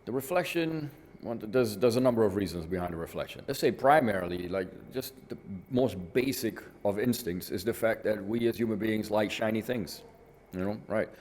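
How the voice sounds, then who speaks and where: A man's voice: on the low side, normal speed, a little mellow, slight background noise. A middle-aged man from northern Italy, an artist being interviewed, answering in a reflective way with frequent "you know" asides. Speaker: guest artist. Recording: reverberant hall with faint ambience.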